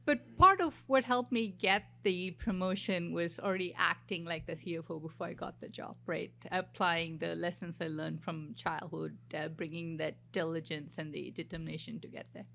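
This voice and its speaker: A fairly low-pitched woman from southern India speaks on a conference stage panel. Her delivery is earnest and steady, with many small fillers as she introduces her career. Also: normal speed, creaky, hardly any pauses, a little hiss or hum. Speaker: CFO panelist.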